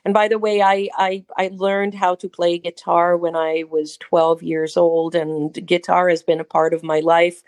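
Israeli woman, low voice, dry sound. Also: little pitch movement, measured pace, hardly any pauses, no room sound. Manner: warm, effusive, with frequent restarts. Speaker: rabbi and cantor, guest. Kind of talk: conversational interview answer.

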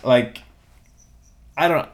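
A young man hosting a solo podcast, talking in a casual, rambling way, swearing freely and laughing often. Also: extremely slow. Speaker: podcast host.

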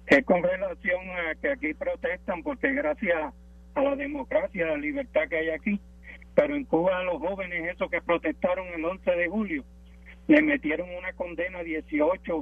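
A man's voice: high voice, lively intonation, moderate pace, slightly rough. A man with a Puerto Rican accent calling in to a political radio show over a phone line. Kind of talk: political call-in talk. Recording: thin, narrow phone line.

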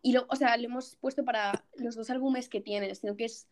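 A young woman acts as the interviewer in a casual conversation. She speaks in a relaxed, informal register, putting questions and sharing her own view in an everyday conversational style. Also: a little high, fairly quick.

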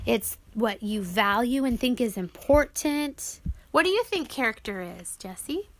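A woman's voice: fairly high pitch, lively intonation, measured pace. A woman reading an audiobook as its author, explaining things simply, as if to young children.

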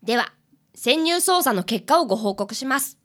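A woman's voice: sits high, animated, normal speed, a bit bright. A woman in a radio drama voicing an earnest fifth-grade boy detective who reports politely and formally. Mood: earnest, serious.

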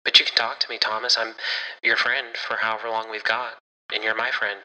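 Man playing an anxious, sharp-voiced man in reverb. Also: lowish voice, level tone, a little fast. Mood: reassuring, earnest. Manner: stammering, earnest. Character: anxious young man, a demon's earnest loyal friend. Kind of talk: audio fiction dialogue.

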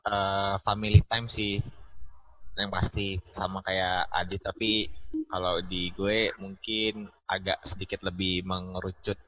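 A young man talks in an easy, slangy way and often pauses on fillers. His voice sits low, but it has a bright, forceful edge. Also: low-quality recording. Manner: relaxed slangy talk with fillers. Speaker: panel guest. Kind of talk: casual chat.

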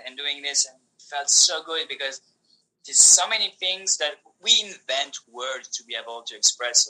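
A man whose first language is Polish, talking as a podcast guest in an animated way, with many restarts and fillers. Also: mid-range voice, rough recording. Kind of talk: casual conversation.

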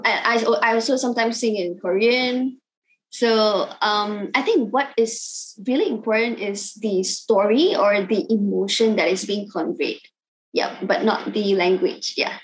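A woman's voice: mid-range voice, a little slow, rough recording. Young Malaysian woman, hesitant replies. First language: Cantonese. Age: 20s. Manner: soft-spoken, hesitant with many ums. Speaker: singer guest. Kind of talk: interview answers.

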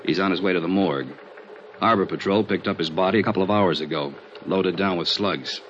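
An actor playing a gruff, matter-of-fact police lieutenant in a 1950s radio drama, plain-spoken and businesslike. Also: male voice, deep voice, fairly quick, fairly bright tone. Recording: muffled, noisy 1950s radio recording.

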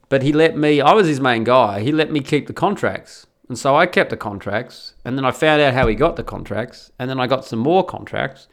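A man's voice: mid-range voice, a bit bright. An Australian man talking in a blunt, chatty way, with lots of restarts and the odd swear word, giving advice in a casual monologue.

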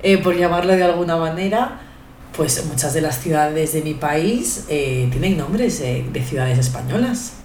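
A young woman with a Spanish accent from Spain, talking in a relaxed, thoughtful way as a podcast guest, with many pauses and fillers. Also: low voice, roomy sound, a little hiss or hum. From Ecuador, raised in Spain. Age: young adult. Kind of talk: casual podcast conversation.

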